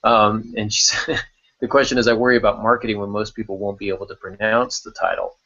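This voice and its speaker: A man's voice: fairly low pitch, flat delivery, quick pace, bright, forceful tone, muffled recording. A man hosting a writing podcast in casual unscripted talk, thinking aloud and repeating words as he goes. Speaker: podcast host discussing writing craft.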